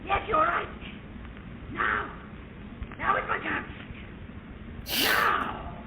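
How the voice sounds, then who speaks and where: Shrill, crazed radio-thriller killer. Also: male voice, wildly swooping pitch, drawn-out words, sharp tone, roomy sound, heavily acted. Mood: menacing glee. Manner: gloating, repetitive, maniacal laughter. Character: crazed gloating male murderer cornering his enemy, unhinged. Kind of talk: old-time radio thriller acting. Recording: very noisy lo-fi old radio recording.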